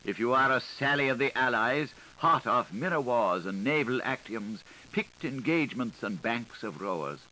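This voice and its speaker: A man reading literary prose aloud as an audiobook narrator, in a playful, declamatory, theatrical way with deliberate phrasing, on a 1980s recording. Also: mid-range voice, animated, sharp tone, a little hiss or hum, low-quality recording.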